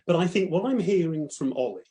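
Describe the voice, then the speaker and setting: A man in his fifties, from London, speaks on a panel in a somewhat high voice. He is earnest and halting, and he often breaks off to start his sentences again. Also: lively intonation, mellow. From born in London. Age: 50s. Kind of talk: personal panel talk.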